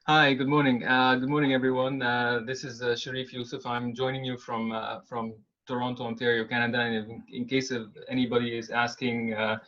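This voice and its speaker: A man's voice: medium pitch, flat delivery, a little fast, hardly any pauses, fairly bright tone. A man, a marketing consultant, speaking on a webinar with many hesitations and fillers, sleepy after waking at five in the morning. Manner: hesitant, many ums and uhs, friendly. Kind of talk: webinar presentation. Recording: dull lo-fi webinar audio.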